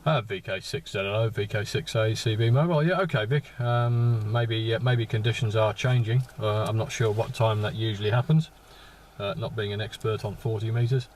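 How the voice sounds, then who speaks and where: Male radio ham, flat low delivery, halting and repetitive, chatting from a parked vehicle with some background noise. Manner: halting, repeats words as they go. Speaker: amateur radio operator. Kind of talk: casual radio contact chat with call signs.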